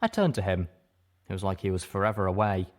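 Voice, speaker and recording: A man's voice: sits low, sing-song, fast talker. A man reading a first-person story aloud as dramatic scripted narration. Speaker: audiobook reader.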